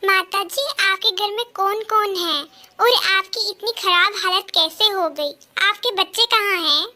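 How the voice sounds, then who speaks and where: A woman playing a gentle, reassuring young female doctor in a children's cartoon. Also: extremely high pitch, unhurried, full character voice. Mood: earnest.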